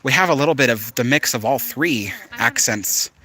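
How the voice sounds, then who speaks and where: A man's voice: mid-range voice, expressive, moderate pace, sharp tone. A man from Southern California with a Southern Californian accent hosts a casual live stream outdoors in a park. He reads viewer comments aloud and answers them in an easygoing, chatty way. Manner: easygoing, chatty, with frequent fillers and restarts.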